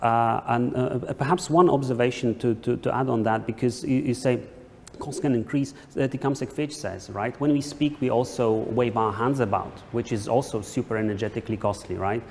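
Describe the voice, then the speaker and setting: A man's voice: medium pitch, fairly even intonation, normal speed, vocal fry, a little mellow. A man answering questions as an academic presenter, explaining hesitantly and often checking with a tag question. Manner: hesitant, frequently checks with "right?". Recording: echoey hall, some background noise.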